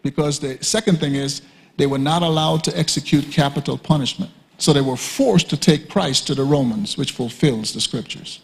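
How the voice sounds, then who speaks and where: A man teaching a sermon at a conference, emphatic and repetitive, often leaping high to act out quoted speakers. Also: normal speed, creaky. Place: church hall. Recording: reverberant hall.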